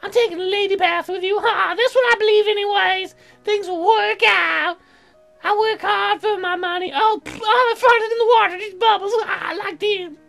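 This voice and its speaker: A man voicing a crude, silly, excitable, childlike woman in a comic visual novel, rambling in a dim-witted way. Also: very high-pitched, moderate pace, gravelly, soft background music, voice pushed up, full character voice.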